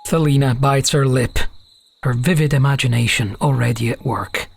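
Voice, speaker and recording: A man's voice: medium pitch, steady tone, normal speed, creaky, breathy edge, no echo. A man giving scripted narration for a fantasy audiobook, as the storyteller between characters' lines.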